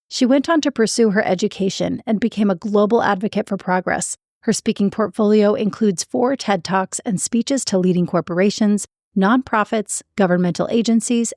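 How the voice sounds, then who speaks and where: A woman's voice: medium pitch, a little mellow, no room sound. Woman hosting an interview, dry studio sound. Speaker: podcast host and interviewer. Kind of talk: scripted introduction and interview.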